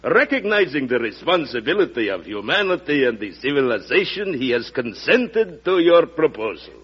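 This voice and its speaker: Pompous, swooping Russian ambassador. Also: male voice, mid-range voice, sing-song, normal speed, breathy edge. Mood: proud. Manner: grandiloquent, formal. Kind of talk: old-time radio drama acting. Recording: muffled vintage radio recording.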